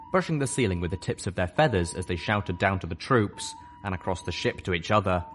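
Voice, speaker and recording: Deep-voiced man reading a story. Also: a little hiss or hum. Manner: steady, measured reading. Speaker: audiobook reader. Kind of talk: first-person fiction narration. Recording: music playing underneath.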